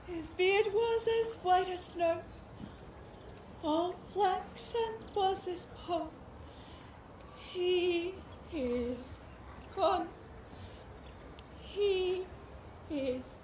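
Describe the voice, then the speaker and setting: A woman playing a fragile, childlike, grief-mad young noblewoman in a theatrical Shakespeare monologue, drifting between singsong chanting and halting speech. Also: high-pitched, slight background noise.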